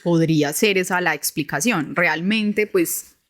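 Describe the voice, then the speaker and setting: A woman with a Medellín accent tells a story on a podcast. Her voice sits fairly low, and she talks casually and hesitantly, with frequent restarts and little check-ins. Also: a little slow, fairly bright tone. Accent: Colombian, Medellín. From Medellín, Colombia. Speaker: podcast co-host.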